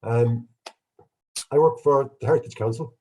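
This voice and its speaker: An Irish archaeologist giving a relaxed, chatty online lecture with slides, sounding bunged up with a cold. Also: male voice, mid-range voice, normal speed, husky. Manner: relaxed, chatty, self-deprecating. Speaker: guest speaker, archaeologist. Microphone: video call.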